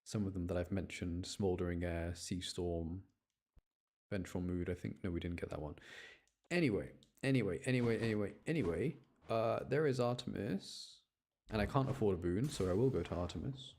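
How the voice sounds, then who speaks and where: A man's voice: low voice, fairly quick, a little mellow, slight background noise. A man giving casual gameplay commentary, talking to himself and explaining his choices as he rambles along. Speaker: gaming content creator.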